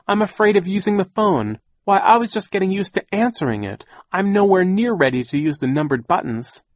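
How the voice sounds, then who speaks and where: A man's voice: on the high side, animated, moderate pace, a little mellow, no room sound, voice pushed up. A man voicing a fussy, fearful older aunt in an audiobook, pedantic and whining. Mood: whining, frightened. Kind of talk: audiobook character voice.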